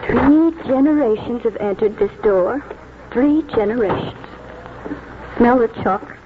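A woman's voice: on the high side, a little slow, natural voice. An actress playing a wistful, nostalgic retiring schoolteacher in her seventies, in 1940s radio drama style. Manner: nostalgic, reflective. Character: wistful seventy-four-year-old spinster schoolteacher reminiscing, retiring. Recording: muffled, noisy 1940s radio recording.

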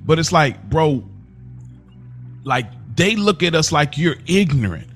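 A man's voice: a little high, sing-song, a little slow, slightly rough, faint music behind. A man giving impassioned opinions as a podcast panelist, talking in informal slang and repeating himself for emphasis.

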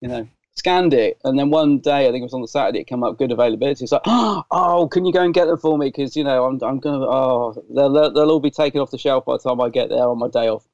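Croaky man, gravelly chat. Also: mid-range voice, fast, hardly any pauses, a little mellow, no room sound. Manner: chatty, rambling anecdotes. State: croaky, dry throat. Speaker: podcast co-host. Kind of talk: casual chat. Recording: dull lo-fi sound.

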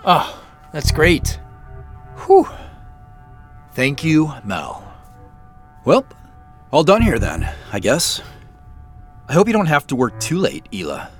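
A furtive male office worker in an audio drama. His talk is slow and halting, with an awkward, casual feel and pitch that moves around a lot. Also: wildly swooping pitch, faint music behind, heavily acted. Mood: flustered, awkward. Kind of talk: naturalistic audio drama acting.

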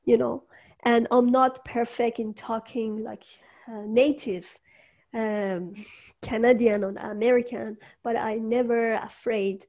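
Brief: woman, non-native English speaker, higher voice, slow unhurried delivery, lively and laughing. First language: not English. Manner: animated, laughs often, acts out quoted voices. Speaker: podcast host and entrepreneur. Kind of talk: casual conversation.

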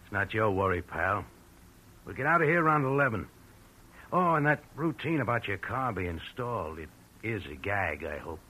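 A sly tough guy with a husky, fairly low male voice. His pitch swings freely and he needles in a guarded, old radio drama manner. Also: very animated, normal speed, pushed and bright, exaggerated voice, low-quality recording. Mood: sly, amused. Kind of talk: 1940s radio drama acting.

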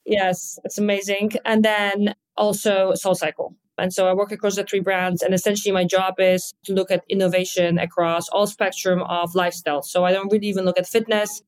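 An upbeat woman with a Polish accent answers as a podcast guest, candid and quick. She sounds like an executive in her thirties, talking about her work with easy confidence. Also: medium pitch, flat delivery. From Poland. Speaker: guest, fitness company executive. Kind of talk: podcast interview.

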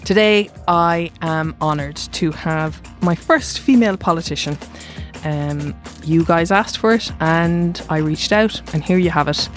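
A woman's voice: on the low side, measured pace, faint music behind. A young woman hosting a podcast, chatting informally and often stopping to restart her sentences. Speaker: podcast host. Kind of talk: casual podcast chat.

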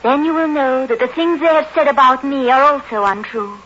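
Mysterious, sorrowful moorland outcast, earnest and gentle, clear-edged bright voice, dull noisy 1960s radio sound. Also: female voice, high voice, brisk. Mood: solemn. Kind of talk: 1960s radio horror drama acting. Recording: muffled, noisy old radio recording.

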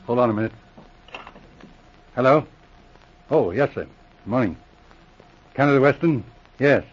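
An actor playing a brisk, gruffly good-humored veteran police officer in a 1940s radio drama. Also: male voice, medium pitch. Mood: brisk, businesslike. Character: brisk, dry, loyal veteran police sergeant and inspector. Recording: muffled old radio recording.

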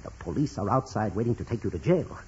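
Guy doing mean impatient police commissioner, old radio play, pitch all over, muffled hissy recording. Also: lively intonation, quick pace, dark tone, natural voice. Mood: stern, accusing. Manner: stern, accusing, hectoring. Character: bullying, impatient male police commissioner interrogating suspects. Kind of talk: 1940s-50s radio drama acting. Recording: dull, noisy old radio broadcast.

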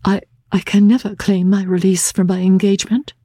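A woman voicing an anguished, gentle young gentlewoman, earnest and halting, in a dramatic classic audiobook. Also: medium pitch, flat delivery, no room sound, voice pushed up, lightly acted. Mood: sorrowful, earnest.

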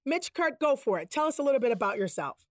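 A woman's voice: sits high, very animated, fast, crowd noise. A woman from Boston co-hosting a podcast in an exuberant, encouraging way. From Boston, United States. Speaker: podcast co-host, TV producer.

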